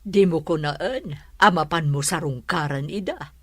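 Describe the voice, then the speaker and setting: An actress with a Filipino accent playing a meddling, easily shocked middle-aged mother with a husky voice in a radio drama. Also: low voice, a little slow, fairly bright tone, dry recording, clean studio sound. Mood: urging.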